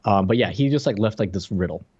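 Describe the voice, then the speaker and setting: A man's voice: on the low side, fast talker, a little mellow, breathy edge, dry recording, muffled recording. A man co-hosting a podcast, explaining things informally and piece by piece in casual chat. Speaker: podcast co-host.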